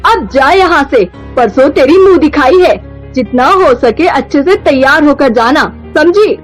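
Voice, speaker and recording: A woman's voice: sits high, lively intonation, unhurried, faint music behind. A woman voicing a scornful, short-tempered middle-aged mother-in-law, scolding and taunting theatrically in a melodramatic Hindi moral-story style. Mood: irritated scolding.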